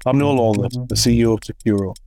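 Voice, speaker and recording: A man's voice: medium pitch, fast, over music. A man hosting a podcast, reading a scripted intro and then asking easygoing questions. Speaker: podcast host.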